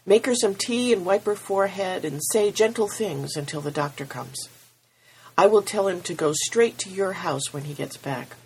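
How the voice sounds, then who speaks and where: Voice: medium pitch, expressive, normal speed, darkish tone, slightly rough, voice pushed up, poor audio. Narrator doing a breathless old farmer. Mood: urgent, kindly. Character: older male farmer, kindly, out of breath.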